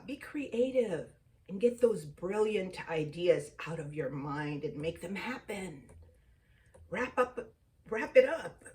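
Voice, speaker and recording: Unhurried, mellow-voiced woman in her fifties. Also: mid-range voice, distant mic. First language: Tagalog. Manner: chatty, drops into whispered asides. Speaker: vlog host. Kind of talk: casual chat.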